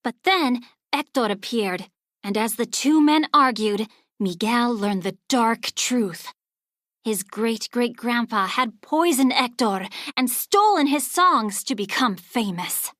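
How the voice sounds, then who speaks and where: A woman narrating a children's storybook aloud in an expressive, scripted read-along style. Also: fairly high pitch, slow, dry recording, studio quality.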